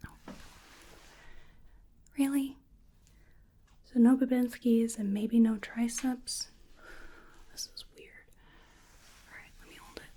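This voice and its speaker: A woman speaks in a soft, breathy ASMR style, mostly in whispers. Her delivery is slow and gentle, with a hesitant murmur aimed right at the listener. The tone is dark and mellow, though pitched a touch high for all its softness. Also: steady tone. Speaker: ASMR creator and roleplayer. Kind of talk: soft-spoken ASMR roleplay, largely whispered.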